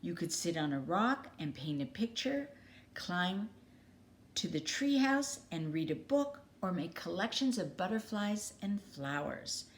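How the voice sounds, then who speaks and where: Slow, animated woman storyteller. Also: fairly low pitch, a little hiss or hum. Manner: expressive, dramatic pauses and emphasis. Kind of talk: children's story read aloud.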